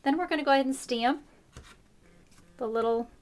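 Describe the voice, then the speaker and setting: A woman hosting a casual craft tutorial, explaining her steps in a chatty way with fillers, her voice a little rough this morning. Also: sits high, moderate pace. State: slightly rough voice. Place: craft table indoors.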